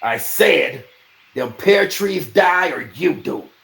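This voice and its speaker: A man voicing a menacing, taunting knight with rough rustic speech, in a storybook reading. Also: fairly high pitch, lively intonation, takes it slowly, a bit bright, voice pushed up, full character voice. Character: gruff, menacing, bullying man-at-arms knight. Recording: roomy echo, faint noise.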